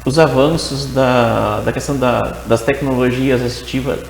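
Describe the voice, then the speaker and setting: Gravelly gaúcho TV host. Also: male voice, medium pitch, steady tone, normal speed, echoey room, big-room sound, slight background noise, poor audio. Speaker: TV host and interviewer. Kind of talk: TV interview questions.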